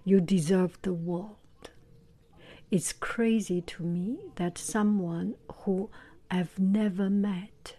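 Slow, breathy whispering woman. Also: mid-range voice. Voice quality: soft whisper. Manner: gentle, hesitant, many pauses and fillers. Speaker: channel host. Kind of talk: whispered vlog talk to viewers.

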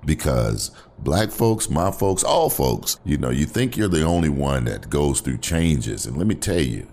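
A man hosting a podcast with a guest, talking in an emphatic announcer style with quick affirmations. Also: extremely low pitch, big pitch swings, a little mellow, slight background noise. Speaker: podcast host. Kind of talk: casual podcast talk with a guest.

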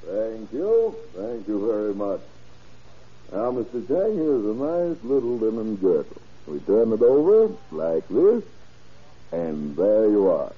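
An actor playing an oily, boastful middle-aged drug dealer in an old radio crime drama, ingratiating, with hemming and chuckles. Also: male voice, fairly low pitch, very animated, slow pace, breathy edge, heavily acted. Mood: gleeful boasting. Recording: muffled old-time radio recording.

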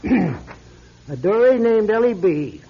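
An actor playing a polite, fussy lawyer in a classic radio drama, speaking formally and hesitantly. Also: male voice, sits high, very animated, slow pace, heavily acted. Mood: formal, measured. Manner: formal, hesitant, throat-clearing. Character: polite, fussy male lawyer reading a will. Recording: dull lo-fi radio recording.

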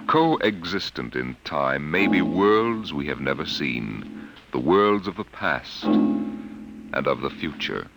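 A man's voice: rumbling low, sing-song, slow pace. A man narrating a 1950s radio drama in a solemn, theatrical way. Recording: vintage radio recording with loud music.

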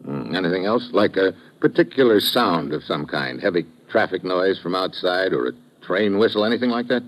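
An actor playing a tough, cool-headed American government investigator in a clipped radio detective drama style. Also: male voice, low-pitched, lively intonation, moderate pace. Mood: probing. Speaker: lead investigator and narrator. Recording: muffled old radio recording.

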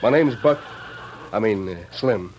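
Low-voiced folksy American cowhand. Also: male voice, expressive, measured pace, lo-fi sound, a little hiss or hum. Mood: friendly, guarded. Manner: folksy, plain-spoken, hesitant. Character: mild older ex-convict cowhand, trying to avoid trouble. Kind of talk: old-time radio western acting.

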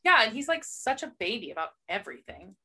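A woman's voice: on the high side, sing-song, fast talker, sharp tone, breathy edge. A young woman co-hosting a casual book podcast, chatty and sarcastic, mimicking characters as she talks. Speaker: podcast co-host. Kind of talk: casual chat about books.

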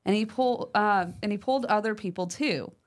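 A woman co-hosting a podcast talks in a relaxed, chatty way, sprinkling in "like" and "um". She reads listener mail aloud with lively emphasis and keeps an everyday, mid-height voice. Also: medium pitch, normal speed. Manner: chatty, uses fillers like "like" and "um". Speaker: podcast co-host.

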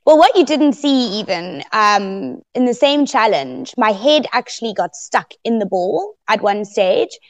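A woman guest on a podcast interview, telling her story expressively and loudly, with laughs here and there. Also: mid-range voice, sing-song, a little slow, few pauses, highs cut off. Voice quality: very loud voice. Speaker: guest, eliminated contestant.

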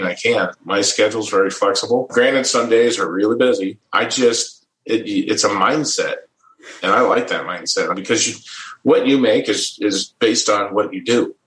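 Man, middle-aged, from the Midwest, lowish voice, easygoing, chuckling, roomy echo. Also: moderate pace, a bit bright. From American Midwest. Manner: easygoing, chuckles often, restarts phrases. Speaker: guest. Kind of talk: casual interview storytelling.

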